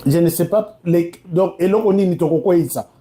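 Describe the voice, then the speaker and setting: Man, Congolese accent, slightly high pitch, dark mellow timbre, online commentator, emphatic direct address, informal delivery. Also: fairly quick. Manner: emphatic, direct address, switching between two languages. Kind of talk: informal political commentary to camera.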